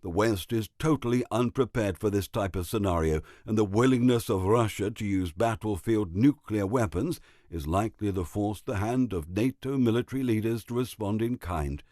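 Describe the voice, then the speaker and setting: A man playing a grave military expert, giving measured, ominous analysis in a mock news drama. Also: on the low side, darkish tone, no echo, studio quality, close to an everyday voice. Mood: worried.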